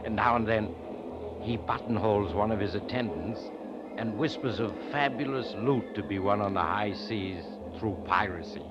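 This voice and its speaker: A man plays a mysterious traveler hosting radio horror tales. His voice is lowish, theatrical and insinuating, with wry menace. It is a muffled vintage recording with heavy train noise. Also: normal speed, lightly acted. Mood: sly, macabre. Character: eerie, sly mysterious traveler telling macabre tales. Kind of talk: 1940s radio horror host narration. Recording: dull, very noisy radio, train rumble behind.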